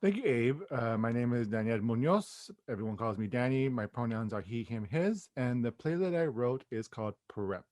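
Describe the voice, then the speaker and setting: A man's voice: medium pitch, animated, normal speed, hardly any pauses, a little mellow, no echo, clean studio sound. A man with an American accent talking casually as an interview guest, in a hesitant, giggly manner. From Los Angeles, United States.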